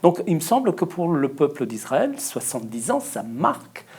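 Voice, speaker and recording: A man from Portugal taking part in a Bible study discussion, speaking thoughtfully with many pauses and fillers. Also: fairly high pitch, very animated, darkish tone, slightly rough. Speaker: discussion participant.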